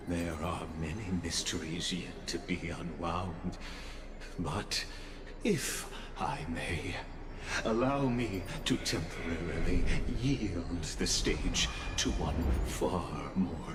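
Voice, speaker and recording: An actor playing a theatrical, solemn hero-narrator in a fantasy game, declaiming in grand phrases. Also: male voice, deep voice, background noise. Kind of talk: scripted dramatic fantasy game acting. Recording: loud game music behind, echoey. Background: loud game music.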